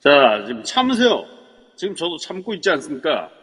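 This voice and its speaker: Man playing an authoritative, husky cop. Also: on the high side, sing-song, fairly bright tone, full character voice. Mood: commanding. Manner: brisk, authoritative. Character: commanding male police officer. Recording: long reverb.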